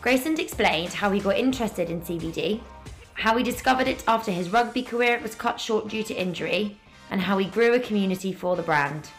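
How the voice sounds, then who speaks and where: A woman's voice: mid-range voice, a little fast, soft background music. A woman hosting a podcast, reading a scripted intro and then asking questions in a friendly way with some hesitant ums. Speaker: podcast host.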